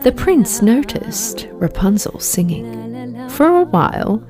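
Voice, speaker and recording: Slow storybook lady narrator, high voice, music playing behind her. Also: expressive. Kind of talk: scripted children's fairy tale narration.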